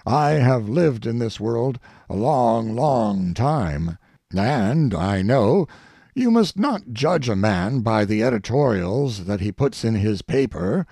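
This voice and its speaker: A man reading an old after-dinner speech aloud in a deliberate, oratorical manner with stressed pauses. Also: mid-range voice, very animated, mellow, husky, no echo, studio quality. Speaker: audiobook reader.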